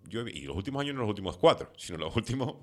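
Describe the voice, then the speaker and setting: Middle-aged guy hosting an interview, really low voice, talks fast. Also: very animated, a little mellow. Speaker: host interviewer. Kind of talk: conversational interview with long opinionated questions.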